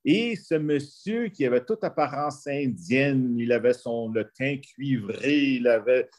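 Rambling Franco-Albertan professor on a call. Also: male voice, mid-range voice, unhurried, a little mellow. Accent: Franco-Albertan French. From northern Alberta, Canada. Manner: rambling, many restarts and fillers, storytelling. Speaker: anthropology professor, panel guest. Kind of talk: video call panel discussion. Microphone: video call.

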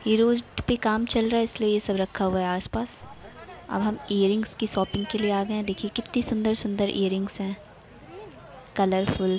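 A woman's voice: medium pitch, darkish tone, a little hiss or hum. A young woman from India narrating a casual travel vlog, cheerful and chatty, pointing things out to her viewers. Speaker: vlogger.

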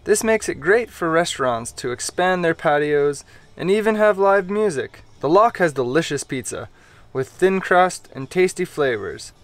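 A man's voice: fairly high pitch, expressive, moderate pace, no room sound. A man vlogging outdoors by the water, talking in a friendly, casual way. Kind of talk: casual travel vlog.

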